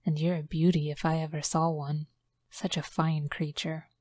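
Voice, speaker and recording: A woman voicing a gentle, soft-hearted Viking man. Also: on the low side, steady tone, a little slow, no echo, studio quality.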